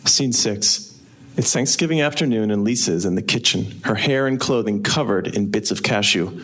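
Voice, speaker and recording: Low-voiced man narrating stage directions. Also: normal speed, fairly bright tone, poor audio.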